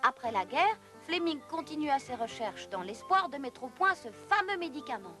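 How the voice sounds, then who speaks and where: A woman's voice: high-pitched, big pitch swings, normal speed. A woman reading scripted narration for an educational cartoon. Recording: music behind the voice.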